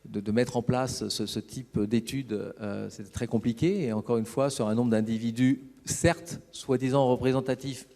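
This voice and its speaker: Mellow-voiced man presenting in a big hall. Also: medium pitch, fairly even intonation, gravelly. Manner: halting, discursive, explanatory. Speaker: business executive presenting a case study. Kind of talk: conference presentation, semi-improvised explanation. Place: conference hall on stage. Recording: reverberant hall sound.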